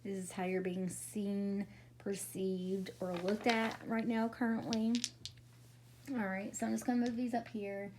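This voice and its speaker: A woman doing a casual tarot reading, her voice raspy from a cold, chatty and often checking in with "okay?". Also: medium pitch, level tone, measured pace, a little mellow, a little hiss or hum. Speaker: tarot reader.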